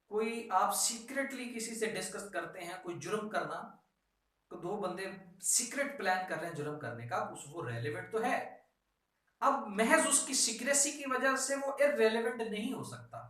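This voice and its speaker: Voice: mid-range voice, a bit bright. A lecturer with a Pakistani accent teaching law, reading out articles and explaining them didactically with repetition and rhetorical questions. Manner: didactic, repeats phrases and asks rhetorical questions. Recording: echoey room, distant microphone.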